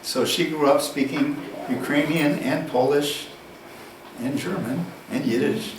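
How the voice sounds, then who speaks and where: A man giving a university lecture, deliberate and unhurried, posing questions and then answering them. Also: on the high side, background noise. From United States. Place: lecture room. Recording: echoey room, distant mic, faint ambience.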